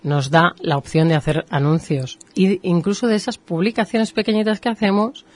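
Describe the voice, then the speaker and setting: A woman's voice: lowish voice, moderate pace, no room sound. A woman with a Spanish accent from Spain, a marketing professional explaining things patiently on a radio show. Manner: explains patiently, with lively examples. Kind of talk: explanatory interview talk.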